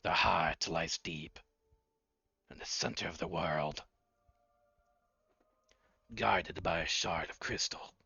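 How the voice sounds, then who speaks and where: A man voicing an ominous ancient bony creature that collects souls, speaking in a slow, cryptic, menacing way for a tabletop game. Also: low voice, gravelly, pushed and bright, husky, no room sound, muffled recording, heavily acted.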